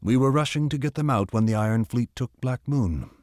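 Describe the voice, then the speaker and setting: A man's voice: medium pitch, animated, brisk, darkish tone, no echo, clean studio sound, lightly acted. A man playing a haughty, pampered aristocrat commander in a video game, speaking with pompous condescension. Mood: condescending. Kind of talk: dramatic game cutscene acting.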